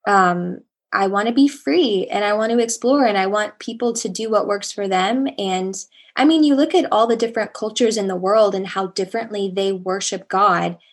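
A young woman speaking as a podcast guest with a calm, soothing voice, talking casually and reflectively. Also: mid-range voice, moderate pace. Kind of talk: casual conversational interview.

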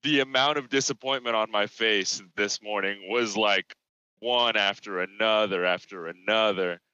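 A young man talks over a voice call, his low voice bright and pressed, crisp and dry. He rambles through stories, swearing freely and stumbling over restarts, with dull lo-fi call audio. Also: fairly even intonation, moderate pace, no room sound. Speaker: podcast co-host. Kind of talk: casual chat. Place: at work.

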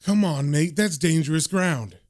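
A man's voice: fairly high pitch, measured pace, darkish tone, lightly acted. A man voicing a nervy British street informant from a comic, agitated and slangy. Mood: alarmed.

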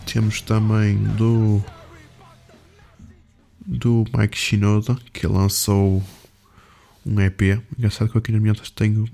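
A man's voice: lowish voice, level tone, a little slow, vocal fry, long pauses, dark tone. A man with a European Portuguese accent hosting a music show, chatting casually in an informal, rambling, slangy way as he introduces songs.

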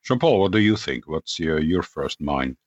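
A man hosting a casual radio panel discussion over a remote call, relaxed and hesitant, with frequent fillers. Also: a bass voice, normal speed, muffled recording. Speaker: radio host.

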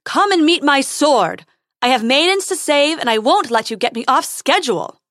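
A young storybook prince, played by a woman, pompous and in a hurry to be a hero. The voice is high and declamatory, recorded close and dry. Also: animated, normal speed, dry recording. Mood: bold challenge. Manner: loud, declamatory. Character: young, pompous, hasty heroic prince who loses nerve. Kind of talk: storybook character voice.

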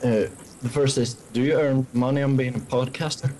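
A man's voice: mid-range voice, steady tone, moderate pace, a little mellow, slight background noise, low-quality recording. A man from Sweden calling in to a podcast, giving brief polite answers and questions. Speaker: caller. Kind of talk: phone-in questions.

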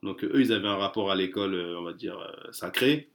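A man's voice: sits low, brisk, creaky. A man chatting casually as an interview guest, hesitant, with many fillers and restarts. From France.

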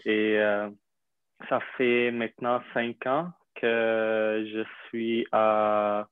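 A young man from Syria, a native Arabic speaker, telling his story in an interview with many pauses, fillers and restarts. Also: on the low side, little pitch movement, clear, resonant tone, no room sound. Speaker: interview guest. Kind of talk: hesitant conversational interview. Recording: dry tinny phone-like line.

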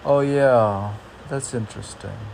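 Slow creaky guy rambling thru poems, thinking out loud, kinda hesitant, bit of background noise. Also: medium pitch. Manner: musing, hesitant, thinking aloud. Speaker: poet reading and musing on his own poems. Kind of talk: casual rambling commentary between read-aloud lines of poetry.

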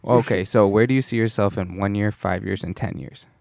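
Relaxed host with a lowish voice. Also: male voice, brisk, gravelly, no room sound, studio quality. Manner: relaxed, short encouraging replies. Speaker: interview host. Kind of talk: casual interview chat.

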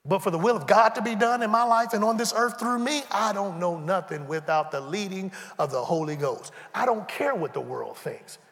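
Animated high-voiced preacher in a big hall. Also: male voice, moderate pace, hardly any pauses. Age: 40s. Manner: conversational preaching, acts out dialogue, humorous asides. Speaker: pastor. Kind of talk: sermon. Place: church sanctuary.

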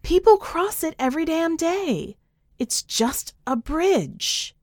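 A woman's voice: extremely high pitch, animated, slow pace, darkish tone, no room sound, studio quality, voice pushed up, exaggerated voice. Woman reading as a bitter, weary middle-aged woman. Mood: defiant. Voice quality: tired.

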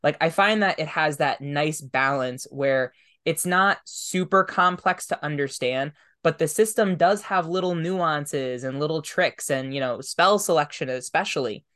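A man's voice: a little high, unhurried, pushed and bright. A man hosting a podcast interview, talking casually in a thoughtful, reflective way. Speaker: podcast host.

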